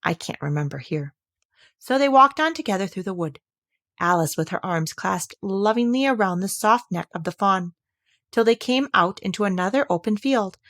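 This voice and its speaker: Female audiobook reader, mid-range pitch, scripted children's story narration. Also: a bit bright, no echo, clean studio sound.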